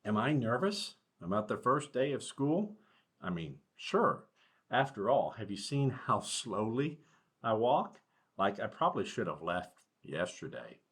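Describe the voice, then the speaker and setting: A worried male sloth from a picture book, read by a man, with a husky American voice. He is slow, anxious and pokes fun at himself. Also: lowish voice, very animated, fairly bright tone, full character voice. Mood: nervous. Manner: worried, self-deprecating.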